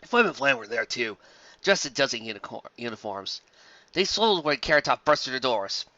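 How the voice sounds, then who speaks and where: Bright, lively fan-fiction narrator. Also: medium pitch, brisk, no echo, dull audio. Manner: plain read-aloud, occasional slips. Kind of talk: storybook narration.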